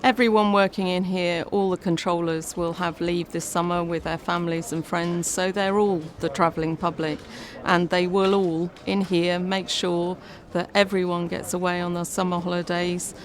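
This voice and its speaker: A woman explains her work in an interview. Her voice is somewhat low and very level, with little rise or fall in pitch. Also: level tone, normal speed.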